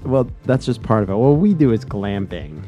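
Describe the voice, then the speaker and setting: Congested, nasal low-voiced man. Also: very animated, normal speed, warm tone, soft background music. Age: 30s. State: congested with a cold. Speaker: podcast host. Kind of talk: casual banter.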